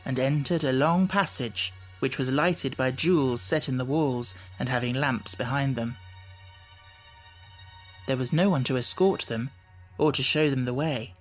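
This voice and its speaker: A man reading scripted storybook narration as an audiobook narrator. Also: medium pitch.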